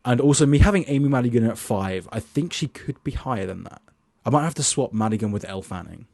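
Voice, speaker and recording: A man's voice: medium pitch, animated, fast, vocal fry, darkish tone, dry recording, studio quality. A young man casually talking through his film award predictions, chatty and loose, with plenty of restarts, reading from his notes. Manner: reads his list off notes.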